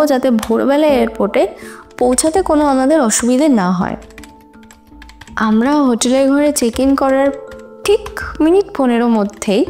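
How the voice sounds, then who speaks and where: A woman playing a young student who testifies in court in an audio drama, earnest and emotive in a deliberate, composed but distressed way. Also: sits high, darkish tone. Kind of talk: Bengali audio drama acting.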